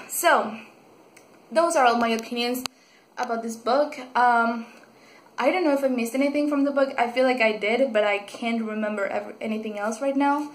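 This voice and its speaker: A woman's voice: on the high side, moderate pace, slight background noise. Gushing young woman. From Mexico. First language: Spanish. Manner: gushing, excitable, full of fillers and restarts. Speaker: book vlogger. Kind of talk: casual book review. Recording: echoey room.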